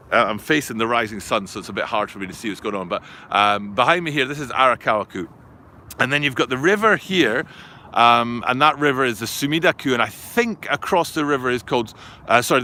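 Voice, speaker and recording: A man's voice: medium pitch, pushed and bright. A man filming a live outdoor vlog, talking in a rambling, chatty way and often correcting himself. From Scotland. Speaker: vlogger. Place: outdoors by a river and highway in a city. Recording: faint outdoor street noise. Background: traffic and city ambience.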